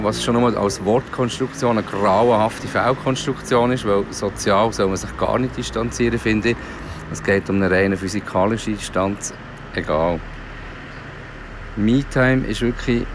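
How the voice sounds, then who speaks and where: A Swiss German man talks in a slightly low voice. His delivery is casual and reflective, like an unscripted audio diary. Ambient noise is plainly audible behind him. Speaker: podcast host and parent.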